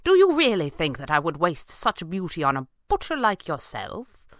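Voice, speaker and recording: Pulp audiobook villain, man performing, ranting and sneering with grand menace and a very animated pitch. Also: slow, dry recording, clean studio sound, exaggerated voice. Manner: mocking, grandiose. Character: menacing, ranting, power-hungry male villain, sneering and theatrical. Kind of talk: dramatised pulp adventure audiobook.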